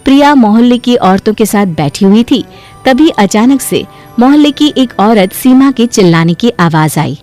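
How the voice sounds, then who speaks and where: A woman's voice: a little high, lively intonation, normal speed, darkish tone, faint music behind. A woman giving scripted narration for a moral story as its narrator.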